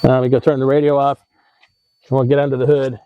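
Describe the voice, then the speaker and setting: A man's voice: mid-range voice, little pitch movement, fast talker, muffled recording. An American man hosting a how-to video, explaining in a casual, informal way with some hesitation and self-correction. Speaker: host of an RV tips video channel.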